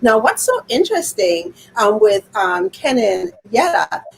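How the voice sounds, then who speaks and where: A woman's voice: a little high, big pitch swings, unhurried, vocal fry, a crowd in the background, low-quality recording. A woman from the U.S. Virgin Islands chatting casually on a panel, laughing easily. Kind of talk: casual self-introduction.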